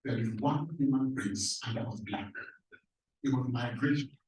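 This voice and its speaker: A man's voice: mid-range voice, normal speed, a little mellow. A man from Cameroon, a professor introducing himself on a panel, speaking emphatically and posing fiery rhetorical questions. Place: large echoey hall. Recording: echoey hall from a distance.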